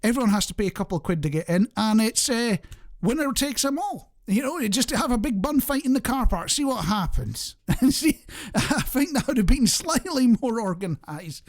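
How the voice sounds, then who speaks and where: A man's voice: very high-pitched, expressive, normal speed. A man hosting a light-hearted radio show, chatting in a jokey, self-deprecating way straight to the listener. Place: radio studio.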